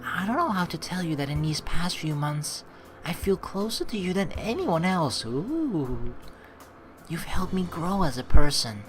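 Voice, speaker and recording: A woman doing gameplay commentary, reading story text aloud expressively and reacting to it in an animated way. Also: lowish voice, unhurried. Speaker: let's play host reading a visual novel aloud. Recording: game music behind the voice. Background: game music.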